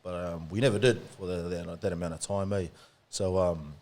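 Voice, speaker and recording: A man's voice: low voice, steady tone, moderate pace, darkish tone. A man in his late twenties with a New Zealand accent, telling stories in a casual podcast chat, laughing often and dropping "eh" and "man". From Samoa, raised in New Zealand. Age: late 20s. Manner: laid-back, laughs often, uses "eh" and "man". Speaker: podcast guest.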